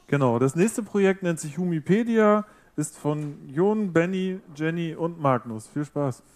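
A man's voice: a little high, animated, a little slow, darkish tone. A man co-hosting a showcase, casually introducing the next team. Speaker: co-host. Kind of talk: moderating a project showcase.

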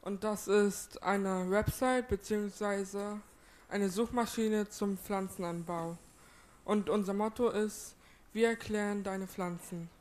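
Woman kicking off a project pitch, clear and simple. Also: fairly even intonation, takes it slowly, darkish tone. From Wismar area, Germany. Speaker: student presenter.

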